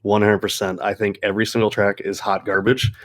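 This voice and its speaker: A man's voice: on the low side, little pitch movement, a little fast. A man hosting a gaming podcast, ranting casually in an exasperated, theatrical, profanity-heavy way.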